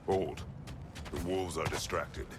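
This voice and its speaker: A man voicing a stern, weary older warrior god in a video game, gruff and terse, with very few words. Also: takes it slowly. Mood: terse, guarded. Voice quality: gravelly, very deep. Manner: terse, clipped, grave. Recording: game audio with loud ambient noise.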